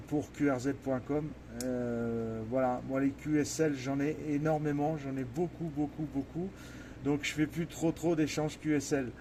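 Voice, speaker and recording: A man's voice: medium pitch, a little mellow. A man chatting casually as an amateur radio operator, often repeating words and phrases, recorded in a noisy indoor radio shack. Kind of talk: casual ham radio conversation.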